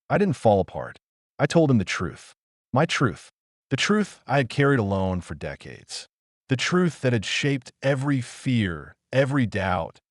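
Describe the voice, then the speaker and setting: A man performing a dramatic audio-play monologue as a wounded, solemn, defiant trauma survivor, speaking deliberately and emphatically with dramatic pauses. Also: lowish voice, dry recording, studio quality. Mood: tense.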